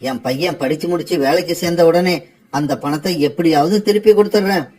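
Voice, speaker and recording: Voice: medium pitch, a little fast, a little mellow, breathy edge, natural voice. An actor voicing an adult bird parent in a children's cartoon, earnest and stern. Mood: worried.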